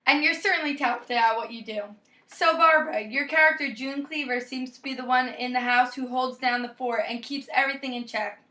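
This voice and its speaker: Bright, high-voiced young woman anchoring news. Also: steady tone, fairly quick, rough recording. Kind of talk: scripted 1950s-style newscast, student project.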